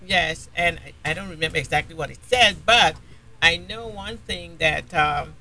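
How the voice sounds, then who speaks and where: Man, radio guest, gravelly, bright edge, halting, fillers. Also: fairly high pitch. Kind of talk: casual talk-radio comment.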